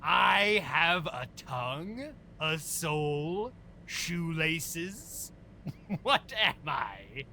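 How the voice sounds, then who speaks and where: A man's voice: on the high side, sing-song, extremely slow, pushed and bright, a little hiss or hum, exaggerated voice. A man playing a petty, insecure villain in an improvised comedy audio drama, theatrical and overeager but easily flustered as he pushes his riddle game. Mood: showy riddling.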